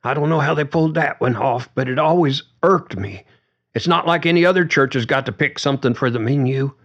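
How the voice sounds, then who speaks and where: A man's voice: medium pitch, brisk, highs cut off, voice pushed up, natural voice. A man voicing a frail, confused, fretful old woman with a quavering voice, as character dialogue in an audiobook. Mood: querulous. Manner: fretful, disdainful.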